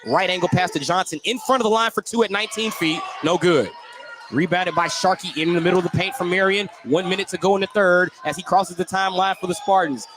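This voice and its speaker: A man calling live high school basketball on radio, stretching out his phrases on big plays. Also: fast talker, background noise. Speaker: play-by-play sports announcer.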